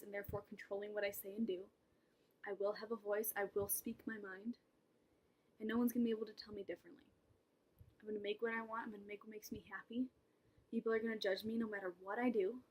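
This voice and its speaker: Breathy young woman rambling in a vlog. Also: mid-range voice, flat delivery, fast, rough recording. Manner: rambling, self-mocking, with laughs and whispered asides. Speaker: vlogger. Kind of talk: casual vlog monologue.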